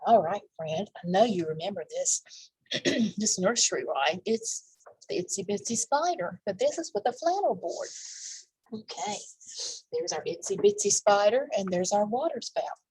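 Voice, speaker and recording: A woman's voice: medium pitch, lively intonation, normal speed, a little mellow, husky, no echo, low-quality recording. A woman reading aloud to young children as a storytime host, with chatty asides and questions for her listeners.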